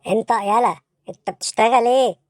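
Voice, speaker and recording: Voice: mid-range voice, a little fast, no room sound, studio quality, close to an everyday voice. A performer voicing a baffled, bossy police interrogator in an Egyptian comedy cartoon, brusque and insistent, with an Egyptian accent. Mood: incredulous.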